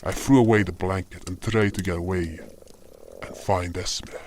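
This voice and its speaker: A man's voice: low voice, steady tone, normal speed, a little mellow, slight background noise. A man narrating a scripted first-person fantasy story as its storyteller and voice actor. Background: music.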